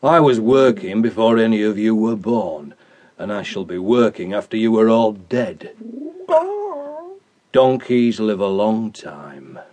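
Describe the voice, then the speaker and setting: An old donkey played by a man speaks in a fairly low voice, weary and deadpan. He is a stoical, gloomy cynic from a radio play. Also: measured pace, lightly acted. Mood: weary, fatalistic. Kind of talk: radio drama acting.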